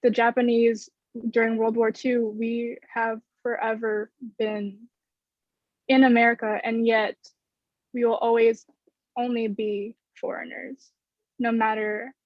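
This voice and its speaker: A woman in her twenties speaking earnestly and in a measured way as a student panelist on a dull video call. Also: fairly high pitch, dry recording. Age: 20s. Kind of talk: thoughtful panel discussion. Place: bedroom indoors.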